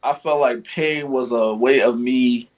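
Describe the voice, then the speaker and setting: Young male podcast guest, originally from Guyana; relaxed, filler-heavy conversational delivery. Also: mid-range voice, a little slow. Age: young adult. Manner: relaxed, lots of fillers like "you know what I'm saying". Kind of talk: casual conversation.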